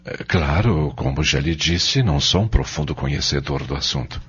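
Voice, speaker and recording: Middle-aged Brazilian father, courteous and unsure, rasping bass, halting delivery, lo-fi radio play. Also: a bit bright, exaggerated voice. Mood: puzzled, hesitant. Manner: halting, hesitant. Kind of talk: Brazilian radio drama acting. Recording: dull lo-fi audio.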